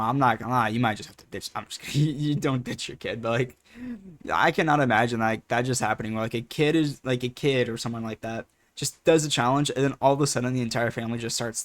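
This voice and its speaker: Just talk it through loosely, a young guy chatting with lots of "like"s. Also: medium pitch. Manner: rambling, laid-back, many fillers. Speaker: reaction video host. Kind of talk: casual reaction commentary.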